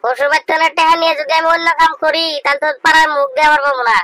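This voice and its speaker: A man doing a squeaky village guy. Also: steady tone, very fast. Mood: bantering. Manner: teasing, rough, crude village banter. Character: young village man bantering with his friend. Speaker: voice actor. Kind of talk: comedic cartoon dialogue. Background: loud music.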